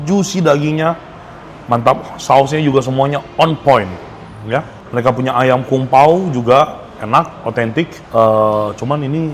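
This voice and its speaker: A man with a Jakarta Indonesian accent gives a casual, enthusiastic food review, speaking slangily and mixing in English phrases. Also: mid-range voice. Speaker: food vlogger. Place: restaurant. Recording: clear background noise, restaurant ambience.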